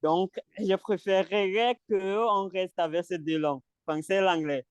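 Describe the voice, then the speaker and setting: A man from Cameroon whose first language is English, leading a casual French practice chat and pausing often to repeat his words. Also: high voice, steady tone, clear, resonant tone, dry recording. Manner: hesitant, repeats words, laughs between phrases. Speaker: conversation group leader.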